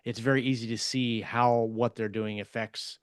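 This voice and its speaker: A man's voice: medium pitch, flat delivery, moderate pace, clear, resonant tone, no echo, clean studio sound. A man co-hosting a podcast, casually explaining writing craft and repeating words as he thinks. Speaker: podcast co-host.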